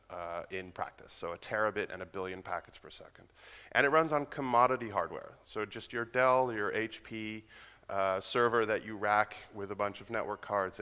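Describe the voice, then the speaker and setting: A man from the Netherlands with an American accent, giving a quick, casual, joking technical talk at a conference. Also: fairly low pitch, pushed and bright, clear, resonant tone. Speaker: conference speaker. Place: conference hall. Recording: reverberant conference hall.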